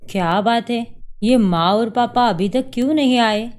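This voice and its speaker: A woman voicing a calm, agreeable young husband in a cartoon story. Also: a little high, a little slow, lightly acted. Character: young husband, affectionate son.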